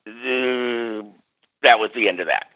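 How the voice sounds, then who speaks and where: An older male parapsychologist telling stories as a radio guest, lively, acting out quoted voices. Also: medium pitch, slow. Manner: animated, acts out quoted voices. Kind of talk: storytelling in a radio interview. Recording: muffled radio audio.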